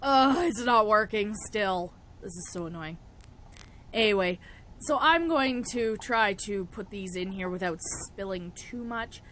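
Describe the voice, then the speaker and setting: A young woman with a cold-roughened, raspy voice, chatting casually through a spell-making tutorial and laughing often. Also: medium pitch, expressive, measured pace, a bit bright, a little hiss or hum. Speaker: witchcraft vlogger.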